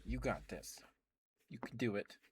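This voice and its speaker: A man speaking softly and breathily as an ASMR streamer, close to the microphone, murmuring gentle affirmations to his viewers. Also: lowish voice, lively intonation. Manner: soft, breathy whispering with slow, repeated affirmations. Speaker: ASMR livestreamer. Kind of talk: ASMR, intimate rambling to viewers.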